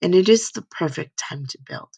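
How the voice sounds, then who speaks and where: Hoarse gravelly woman, earnest and rambling. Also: on the low side, lively intonation, normal speed, mellow, no room sound. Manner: rambling, earnest, repeats "right?" and "you know?". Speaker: speaker giving a slide talk. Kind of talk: faith-based motivational talk.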